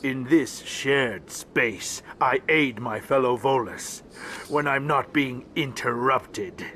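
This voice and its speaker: A man's voice: mid-range voice, slow, a bit bright, a little hiss or hum. A man voicing a small, grumpy, aggrieved alien diplomat in a video game, curt and world-weary. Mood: curt, irritated. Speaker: ambassador. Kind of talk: video game character dialogue.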